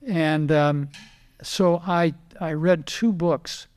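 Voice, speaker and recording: Older male guest, slightly higher voice, lively anecdotes, repeats words as he talks. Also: very slow, smooth. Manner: animated anecdotes, quoting others, repeats words. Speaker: guest, retired racing driver. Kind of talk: casual storytelling interview.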